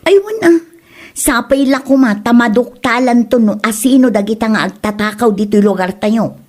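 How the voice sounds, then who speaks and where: Mellow, chatty woman friend. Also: fairly high pitch, normal speed. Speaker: gossiping friend and passenger. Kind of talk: comedic audio drama acting. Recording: music bed behind the voice.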